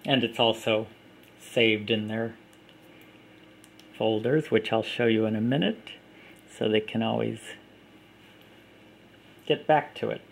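A man giving a plain, unhurried walkthrough of an app, recorded on a camera mic indoors at a table. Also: on the low side, steady tone, creaky, a little hiss or hum. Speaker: app developer demonstrating his app. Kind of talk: casual product demo.

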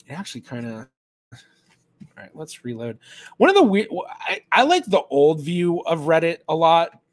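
A man's voice: on the high side, very animated, normal speed, no room sound. A man in his thirties, a genealogist, chatting casually on a livestream from a small room at home, often thinking aloud with restarts and fillers. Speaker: genealogist streamer. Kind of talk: casual livestream chat reading questions.

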